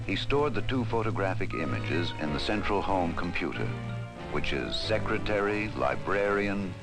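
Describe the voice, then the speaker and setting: Deep-voiced 1960s educational film narrator, a man. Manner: measured, formal, deliberate delivery. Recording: 1960s film soundtrack with music behind. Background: music.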